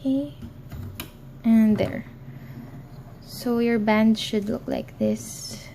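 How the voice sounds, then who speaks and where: A woman's voice: fairly high pitch, steady tone, extremely slow, warm tone, a little hiss or hum. A young woman hosting a crafting tutorial, talking hesitantly with many ums and apologies, recorded in an office. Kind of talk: casual how-to tutorial.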